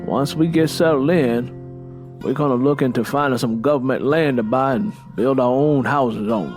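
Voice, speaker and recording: A man's voice: mid-range voice, moderate pace, darkish tone, faint music behind, close to an everyday voice. A man voicing a stern, confident Southern matriarch in an audiobook, instructing firmly with a Southern US accent. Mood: confident, planning.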